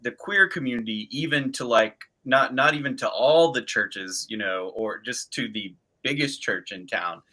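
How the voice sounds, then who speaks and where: Guy hosting, bright crisp voice, earnest, restarts a lot while he thinks. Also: mid-range voice, lively intonation, moderate pace, few pauses, low-quality recording. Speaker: podcast host, interviewer. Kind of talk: casual conversational interview.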